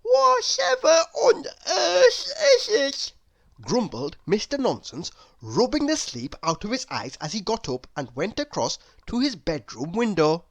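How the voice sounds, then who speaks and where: A woman's voice: low-pitched, sing-song, normal speed, few pauses. A woman reading a children's story aloud expressively, putting questions to the listener. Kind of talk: children's read-aloud narration.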